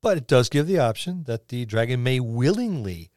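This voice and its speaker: Male co-host for a casual gaming podcast, average pitch, wry and quick to quip, very dry room sound. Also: big pitch swings, a little mellow, dry recording. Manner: wry, quipping. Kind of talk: casual chat about tabletop roleplaying.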